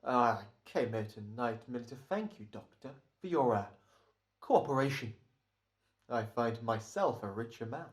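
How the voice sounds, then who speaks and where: A man's voice: mid-range voice, animated, moderate pace, close to an everyday voice. A man playing a smug, careful hired killer, speaking with hesitant, mannered politeness in a radio drama. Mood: wary, smug. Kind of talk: old-time radio drama acting.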